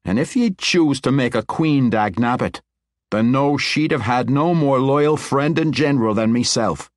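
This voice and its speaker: A man's voice: mid-range voice, measured pace, no room sound, clean studio sound, voice pushed up, natural voice. A man voicing a young male dwarf king who speaks earnestly and loyally in a dwarven brogue.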